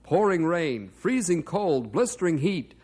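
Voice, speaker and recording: A dramatic old-radio announcer. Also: male voice, fairly high pitch, sing-song, a little slow, a little mellow, breathy edge, heavily acted. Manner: dramatic, emphatic listing, addresses listeners directly. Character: adult male radio announcer narrating the story. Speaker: announcer and narrator. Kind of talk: dramatic 1950s radio narration.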